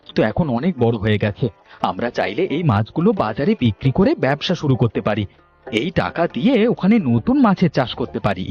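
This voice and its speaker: Guy doing earnest younger village brother in a cartoon, plain talk, mid pitch, bit muffled. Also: close to an everyday voice. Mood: persuading. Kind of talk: Bangla cartoon voice acting.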